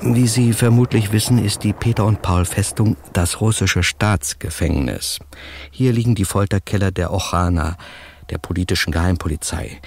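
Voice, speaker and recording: An actor in a radio drama playing a wry, cheeky, jumpy newspaper reporter who also narrates in colloquial style. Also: male voice, sits low, normal speed, vocal fry, darkish tone, slight background noise. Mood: wry narration. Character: cheeky, jumpy male American reporter and storyteller sidekick.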